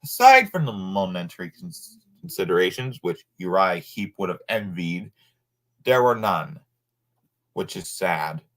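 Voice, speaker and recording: A man reading a nonfiction book aloud informally, sometimes halting over words and adding asides. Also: low voice, very animated, a bit bright.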